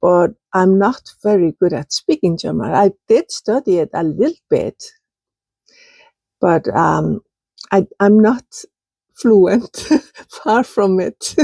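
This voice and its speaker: A woman's voice: mid-range voice, very animated, vocal fry, warm tone, slightly rough, dry recording. A woman with an Icelandic accent, casually showing her pottery in a vlog, searching for words as she goes. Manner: slow, searching for words, with fillers. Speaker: potter showing kiln results. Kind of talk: casual show-and-tell vlog.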